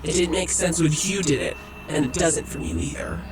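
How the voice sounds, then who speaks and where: A man's voice: on the high side, sing-song, moderate pace, a crowd in the background. A man in a radio drama playing a weary, blunt, exasperated agent who bends reality for his creator. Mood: frustrated. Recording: echoing space, loud music underneath.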